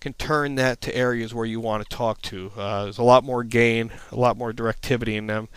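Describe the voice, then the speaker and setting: A man's voice: medium pitch, steady tone, fairly quick, clean tone, dry recording. A man narrating an informal slide presentation as an instructor, explaining things in a plain-spoken, matter-of-fact way.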